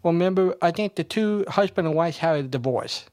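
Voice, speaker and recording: Fairly high-voiced man, musing casually. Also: animated, a little fast, darkish tone, dry recording, studio quality. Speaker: participant in an old-time radio discussion group. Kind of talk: casual explanatory discussion.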